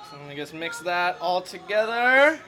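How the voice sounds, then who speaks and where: Laid-back young man, higher voice, music behind. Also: big pitch swings, normal speed, pushed and bright, poor audio. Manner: laid-back, slangy, swears freely. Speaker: co-host cooking on the show. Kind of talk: casual chat while cooking. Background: music playing.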